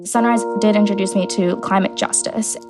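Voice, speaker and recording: A woman's voice: medium pitch, steady tone, normal speed, over music. A young woman from Brazil speaking reflectively in a casual interview.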